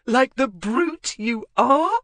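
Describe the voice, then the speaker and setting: A reader voicing a jealous young wife in a loud marital quarrel, shrill and screaming, with a dry, close sound. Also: expressive, normal speed, breathy edge, dry recording. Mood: shrieking.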